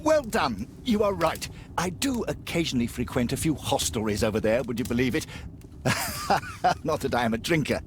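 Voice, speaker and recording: A man plays a self-assured physician of the Victorian era in a game. His eloquent pitch to the listener swoops dramatically up and down, with faint noise behind it. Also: big pitch swings, normal speed, close to an everyday voice. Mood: suave, persuasive. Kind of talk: video game voice acting.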